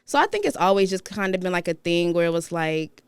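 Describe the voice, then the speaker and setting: Casting: young Detroit woman guest, smooth lowish voice, relaxed, dry close mic. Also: fairly quick, dry recording. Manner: relaxed, laughs between words, many fillers. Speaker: guest, professional dominatrix. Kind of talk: casual interview chat.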